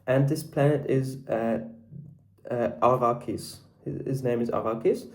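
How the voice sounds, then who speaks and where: A man's voice: mid-range voice, steady tone, a little slow. A sixteen-year-old boy from Switzerland with a Swiss German accent, a native German speaker reviewing books in hesitant, casual talk full of restarts. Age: teens, 16. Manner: hesitant, with many restarts and repeated words. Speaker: book vlogger. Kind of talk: casual unscripted book review.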